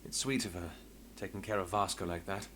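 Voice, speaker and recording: Low-voiced courteous male investigator. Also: fairly even intonation, a little fast, a bit bright, slight background noise. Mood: wry, reflective. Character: composed male investigator, former street thief, wry and courteous. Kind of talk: scripted video game voice acting.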